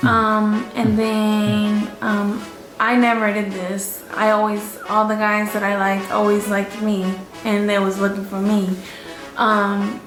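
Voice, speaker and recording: A woman co-hosting a casual couple Q&A at home, relaxed and a bit hesitant, with many fillers. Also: medium pitch, takes it slowly, reverberant, music in the background, poor audio. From Orlando. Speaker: co-host of family channel. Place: home room.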